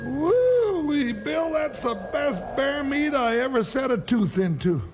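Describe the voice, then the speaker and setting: A man playing an excitable, grumbling, timid deputy sidekick with a folksy drawl in a 1950s radio Western. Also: slow pace, lo-fi sound, loud music behind the voice, exaggerated voice. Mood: folksy pleased. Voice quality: high-pitched, folksy. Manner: whining, exclaiming, rustic drawl. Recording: noisy 1950s radio recording.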